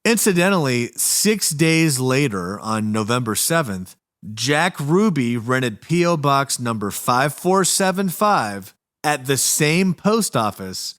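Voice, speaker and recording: A man reading scripted documentary narration as a podcast host, in a measured, explanatory manner. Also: mid-range voice, very animated, a bit bright.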